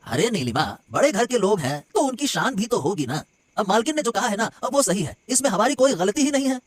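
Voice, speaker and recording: A man voicing a meddling, placating servant of a rich household in a cartoon story, excitable and chatty. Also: high voice, a little fast. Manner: excitable, chatty, brushing off worries. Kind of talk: Hindi cartoon story dialogue.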